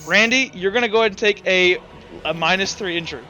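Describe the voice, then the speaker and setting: A man's voice: high-pitched, fast, bright, forceful tone. A young man acting as game master, narrating a tabletop game in loose, rambling sentences full of fillers. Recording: slightly muffled, dull audio. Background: faint background music.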